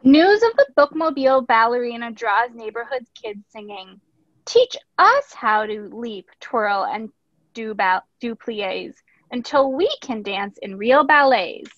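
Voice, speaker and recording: A woman's voice: a little high, very animated, unhurried, fairly bright tone. A woman reading a picture book aloud as a teacher, expressively, with instructive asides. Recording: echoey room, dull lo-fi audio.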